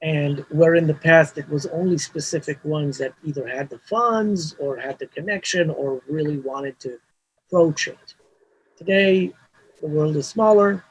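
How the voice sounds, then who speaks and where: A man's voice: a little high, unhurried, husky, poor audio. A man, a Hebrew speaker, talking casually as an interview guest, rambling with restarts and frequent "you know" fillers. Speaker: mastering engineer guest.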